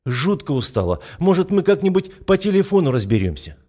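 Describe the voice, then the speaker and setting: A man's voice: a little high, sing-song, brisk, warm tone, voice pushed up. A man voicing a tired, irritable young woman schoolteacher who grumbles in an audiobook. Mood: weary. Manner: grumbling, snappy.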